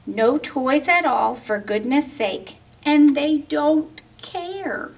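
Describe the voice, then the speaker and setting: A woman's voice: sits high, animated, slow, vocal fry, far from the mic, exaggerated voice. A woman voicing a greedy young male dinosaur in a storybook, speaking in sing-song rhyming lines. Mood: pleading.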